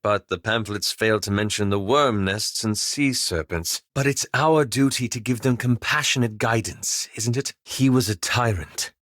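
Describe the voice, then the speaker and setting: Formal, reserved scholarly elf wizard. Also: male voice, on the low side, a bit bright, no room sound, clean studio sound. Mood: measured, concerned. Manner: formal, measured, courteous diction. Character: polite, anxious, scholarly elven wizard, reserved and earnest. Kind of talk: video game character dialogue.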